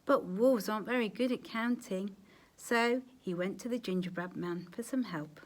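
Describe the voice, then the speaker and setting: A woman reading a children's story aloud with expressive, dramatic emphasis, as a storyteller addressing young listeners. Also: medium pitch, normal speed. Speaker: storyteller, teacher.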